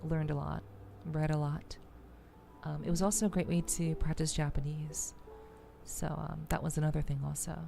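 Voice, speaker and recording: A woman's voice: low-pitched, level tone, normal speed, gravelly, dark tone, soft background music. A woman streamer chatting casually to her live audience, thinking aloud and often repeating her words. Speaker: VTuber streamer. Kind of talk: casual livestream chat.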